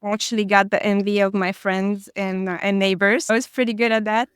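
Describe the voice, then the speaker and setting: A woman's voice: mid-range voice, level tone, fairly quick, clean tone, no room sound, clean studio sound. A woman, a guest chatting casually in an interview, hesitant with frequent fillers and restarts. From Romania.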